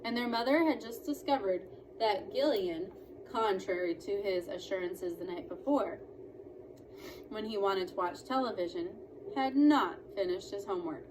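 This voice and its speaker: A woman's voice: medium pitch, moderate pace. A woman narrating a children's novel aloud in a storytelling style. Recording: clearly noisy room recording.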